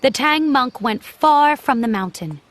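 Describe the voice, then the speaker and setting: A woman narrates a scripted story for children. Her voice is a little high and she takes her time, reading slowly as music plays clearly behind her. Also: lively intonation. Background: clear background music.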